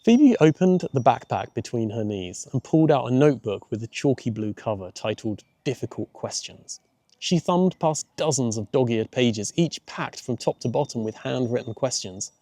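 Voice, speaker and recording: Guy reading a kids story, soft dark tone, really dry recording. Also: mid-range voice, expressive, moderate pace, dry recording. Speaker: author and audiobook narrator. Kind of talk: scripted children's story narration.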